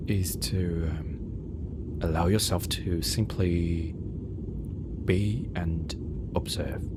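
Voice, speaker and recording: Man as reassuring airline captain, ASMR roleplay, low-pitched, soft breathy near-whisper, gentle measured pauses, clear engine rumble behind. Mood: hushed, soothing. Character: calm, reassuring male airline captain addressing night-flight passengers. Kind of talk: soothing ASMR roleplay monologue. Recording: steady rumbling aircraft cabin noise. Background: low engine rumble ambience.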